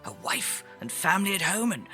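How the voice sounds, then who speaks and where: Storybook miser: woman plays grumpy old man, cold, curt, dismissive, forceful edge, music behind. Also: high voice, big pitch swings, fairly quick, exaggerated voice. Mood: scornful.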